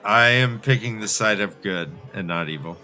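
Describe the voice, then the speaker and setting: A man's voice: on the low side, moderate pace, bright, forceful tone, faint music behind. A man co-hosting a podcast, discussing and bantering casually in a thoughtful, hesitant, wry way. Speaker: podcast co-host.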